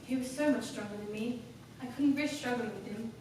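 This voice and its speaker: A woman's voice: fairly high pitch, close to an everyday voice. Echoey, distant young actress as coldly cunning woman. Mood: cool, confiding. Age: young adult. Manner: deliberate, formal, dramatic delivery. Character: composed, cunning woman, sharp-tongued and coldly self-possessed. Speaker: student actor. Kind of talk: theatrical stage monologue. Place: classroom performance in front of class. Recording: echoey room, distant mic, background noise.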